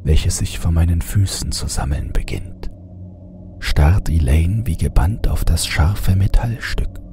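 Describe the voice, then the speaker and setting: Very deep, slow male horror narrator. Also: noisy background. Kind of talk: scripted audiobook narration of a horror story.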